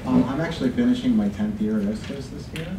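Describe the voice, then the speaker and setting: A man's voice: mid-range voice, fast talker, far from the mic. A middle-aged man, a department chair, giving an informal, reflective speech to an audience. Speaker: college English department chair. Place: college classroom at a podium. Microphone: distant camera. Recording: echoey room from afar, audience noise. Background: audience and room noise.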